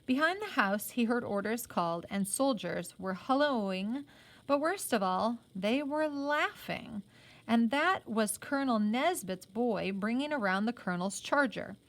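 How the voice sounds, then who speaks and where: A woman's voice: a little high, animated, a little slow. A woman reading a children's novel aloud as a teacher, expressively and with simple explanations.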